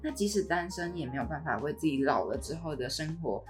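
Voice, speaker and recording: A woman with a notably low voice and a Taiwanese accent chats as a podcast co-host. Music plays behind her as she discusses things in a conversational style. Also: animated, fast talker. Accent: Taiwanese Mandarin.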